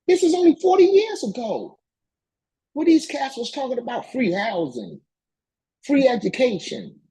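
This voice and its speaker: A man's voice: sits high, dark tone, slightly rough, rough recording. A man giving an impassioned political lecture, reading and commenting in an emphatic, colloquial way and repeating phrases to drive them home.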